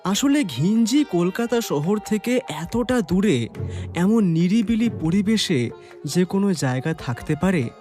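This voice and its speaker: A man narrating a detective story as the detective's companion, in an expressive dramatised scripted style. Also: high-pitched.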